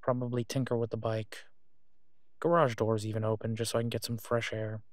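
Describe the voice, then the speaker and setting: A man's voice: medium pitch, flat delivery, brisk, no room sound, natural voice. Man playing a weary, reassuring werewolf boyfriend, close dry recording. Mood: reassuring, casual. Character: tired, devoted male werewolf, protective and on edge. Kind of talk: intimate roleplay audio drama, one side of a phone call.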